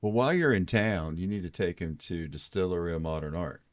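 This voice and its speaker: A man's voice: sits low, expressive, a little fast, a crowd in the background. A man hosting a podcast, chatting casually and enthusiastically with rambling recommendations. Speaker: podcast host.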